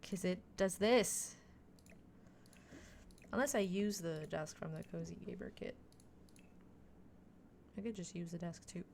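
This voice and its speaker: Chatty woman streamer, lowish voice. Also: measured pace, pauses often, a little mellow, slight background noise. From Montreal, Quebec, Canada. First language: French. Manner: chatty, thinking aloud, self-deprecating asides. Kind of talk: casual chat while gaming.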